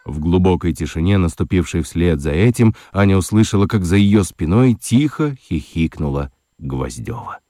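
Low, expressive male storyteller. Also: moderate pace, a little hiss or hum. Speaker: narrator. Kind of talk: scripted storytelling narration. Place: dry studio.

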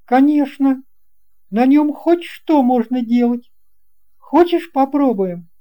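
A narrator voicing a calm, friendly, brave young pilot in a storybook, assured and laconic. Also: sits high, slow, pitched above the performer's own voice. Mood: calm, confident.

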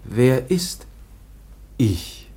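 A man playing a timid, childlike young foundling in a German radio drama, speaking in broken, halting toddler-like phrases. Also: medium pitch, very animated, dark tone, husky, heavily acted. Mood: halting, innocent.

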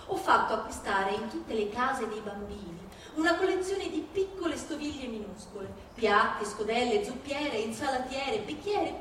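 A woman giving a dramatic stage reading of literary texts, with a measured, declamatory delivery and deliberate pauses. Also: on the high side, a little hiss or hum. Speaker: stage performer. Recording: very echoey hall from far off, music behind. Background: live music.

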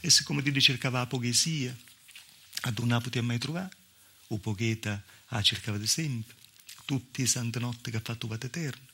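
A man's voice: mid-range voice, normal speed, bright, forceful tone, breathy edge. A male stage actor playing a weary, mistreated southern Italian village wife, telling the story in a colloquial, confiding monologue with a Calabrian accent. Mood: weary, resigned. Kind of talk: theatrical monologue in dialect.

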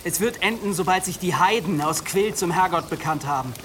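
A man's voice: high-pitched, fairly quick, background noise, lightly acted. An actor playing a battered, bitterly resolute young man in an audio drama, dry and mocking. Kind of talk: German audio drama acting.

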